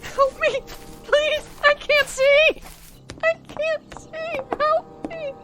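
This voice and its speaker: An actress playing an anxious, placating aunt in a radio drama, with a fluttery manner. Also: female voice, very high-pitched, flat delivery, extremely slow, heavy background noise, exaggerated voice. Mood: panicked, anguished. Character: anxious, eager-to-please aunt, frightened of a child. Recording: music under the voice.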